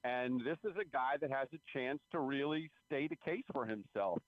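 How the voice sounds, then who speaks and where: A man giving measured, explanatory sports analysis as a beat writer guest, over a tinny phone line. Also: mid-range voice, no room sound. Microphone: phone call.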